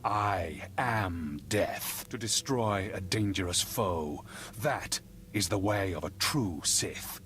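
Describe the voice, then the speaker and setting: An actor playing a menacing, arrogant Sith lord in a video game, pompous, deliberate and full of contempt. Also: male voice, low voice, animated, a bit bright, slight background noise, full character voice. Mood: cold contempt. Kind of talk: dramatic video game villain acting.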